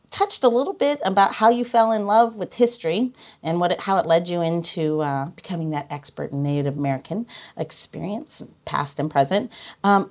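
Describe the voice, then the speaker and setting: Chatty woman host with faint music behind. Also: on the low side. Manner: informal, chatty. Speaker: podcast host. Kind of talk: casual conversational hosting.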